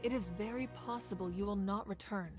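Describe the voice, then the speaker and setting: A woman playing a calm, commanding ruler of a fire nation who speaks in a composed, measured, earnest way. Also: mid-range voice, lightly acted. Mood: steady. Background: game music.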